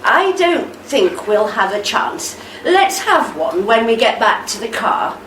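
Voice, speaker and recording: A woman acting a calm, gently chiding elderly wife in a radio play. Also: on the high side, slow pace, echoey room, recorded from a distance, background noise. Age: older.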